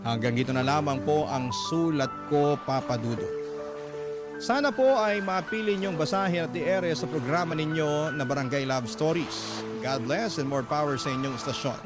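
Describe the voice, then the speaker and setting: High-pitched male radio drama narrator. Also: moderate pace, music in the background. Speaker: radio host reading listeners' letters.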